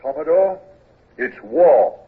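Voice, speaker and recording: A brisk, self-satisfied diplomat who enjoys making his listeners wait. His voice is fairly high and husky and swings widely in pitch, and it comes through a thin, tinny old radio sound. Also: expressive, heavily acted. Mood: eager. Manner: brisk, pleased with himself, teasing. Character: self-assured British diplomat lord bearing news. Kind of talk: 1940s radio drama acting.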